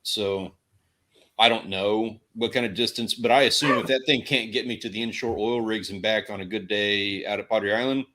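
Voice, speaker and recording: A man's voice: lowish voice, steady tone, normal speed, sharp tone. A man with a Texan accent chatting casually as a livestream co-host, joking and rambling through stories. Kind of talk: casual chat about fishing and outdoors.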